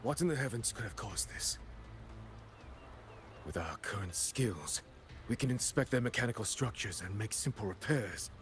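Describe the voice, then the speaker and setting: An actor playing an earnest, troubled male artisan in a video game, speaking in a deliberate way. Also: fairly low pitch, lively intonation, a bit bright, a little hiss or hum. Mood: baffled. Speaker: artisan character. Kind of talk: scripted video game dialogue.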